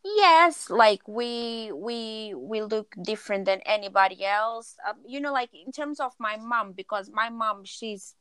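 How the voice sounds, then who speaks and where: Woman in her thirties, from Portugal, podcast guest, smooth clear voice, dry close sound, earnest and searching for words. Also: fairly high pitch, expressive, unhurried, hardly any pauses, sharp tone, no echo. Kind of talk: personal storytelling in conversation.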